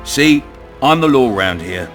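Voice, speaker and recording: An actor with a British accent playing a crude, cunning, menacing town commandant in a video game. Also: male voice, medium pitch, animated, unhurried, a bit bright, soft background music. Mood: swaggering menace. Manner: domineering, coarse, swaggering. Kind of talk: video game dramatic dialogue.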